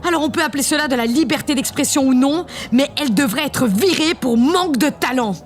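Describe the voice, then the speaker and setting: Woman playing an imperious high-voiced lady president. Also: brisk, faint music behind, lightly acted. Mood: furious. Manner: theatrical, haughty. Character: imperious, vain, furious female president.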